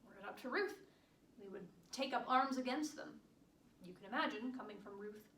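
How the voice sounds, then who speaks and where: Woman acting as a proud devoted country wife in an old-time stage monologue, highish voice, formal wording, echoey and far from the mic. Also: brisk, a bit bright, natural voice. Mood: worried, bitter. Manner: formal old-fashioned diction, earnest. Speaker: stage actress performing monologues. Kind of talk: theatrical period monologue. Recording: echoey room, distant mic, background noise.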